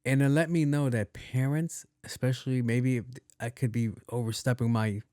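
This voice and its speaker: Soft-voiced man, mellow and dry. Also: steady tone, moderate pace, clear, resonant tone, no room sound. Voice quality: soft, not deep. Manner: loose and profane, with many restarts and repeats. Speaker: podcast host. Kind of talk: casual rambling monologue.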